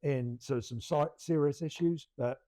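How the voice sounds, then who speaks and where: Middle-aged man, rambling and mellow. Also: a little high, moderate pace, dry recording. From England. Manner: rambling, many restarts, self-deprecating laughs. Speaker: podcast co-host. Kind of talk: casual chat.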